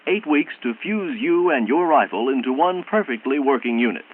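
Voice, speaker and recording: An American man narrating a 1960s military training film in a formal, measured, instructional manner, on an old film soundtrack. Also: medium pitch, expressive, phone-quality sound, natural voice.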